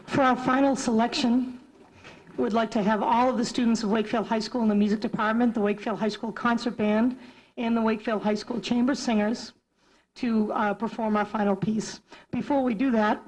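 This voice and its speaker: A woman's voice: on the high side, steady tone, a little fast, a little mellow, poor audio. A woman music teacher giving a formal ceremony speech in a school auditorium, reading prepared remarks.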